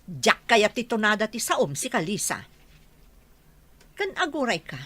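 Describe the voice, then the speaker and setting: A woman's voice: medium pitch, very animated, unhurried, fairly bright tone, no room sound. A woman acting an earnest, admonishing mother in an Ilocano radio drama, full of questions, with an Ilocano accent. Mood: scolding. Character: earnest scolding mother, loyal to her husband's earnings.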